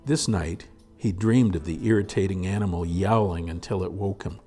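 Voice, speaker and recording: A man reading a story aloud as narrator in a measured, dramatic storytelling manner. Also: low voice, dark tone, soft background music. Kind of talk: scripted audiobook narration.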